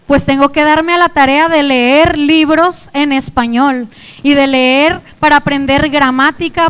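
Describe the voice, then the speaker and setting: A woman's voice: sits high, fairly bright tone. A young woman youth pastor with a Mexican Spanish accent, preaching a sermon earnestly and often turning to address the congregation directly.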